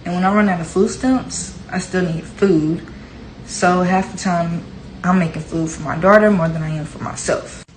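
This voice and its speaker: A woman's voice: fairly low pitch, moderate pace, echoey room, slight background noise, rough recording. A woman who is a single mother talks frankly and matter-of-factly about getting by on government aid. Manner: frank, matter-of-fact complaining. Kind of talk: candid selfie-video rant.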